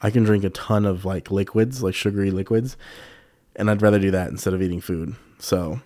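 A young man with a low voice and a slightly congested sound, talking through a relaxed solo podcast episode. His tone is mellow and dark, and the recording is dry and close, with no room around it. He rambles candidly and leans on lots of "you know"s and "um"s. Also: brisk, dry recording. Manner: rambling, loose and candid, many fillers and swearing. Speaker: podcast host. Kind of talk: casual solo podcast chat.

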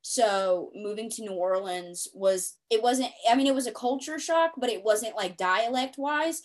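A woman's voice: a little high, lively intonation, a little slow. Enthusiastic talkative woman host. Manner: enthusiastic, talkative, repeats herself. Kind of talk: casual chat.

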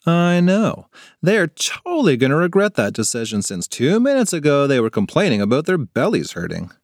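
Man voicing an eager kid, higher lively voice, earnest, dry sound. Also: normal speed, no echo. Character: eager competitive young kid.

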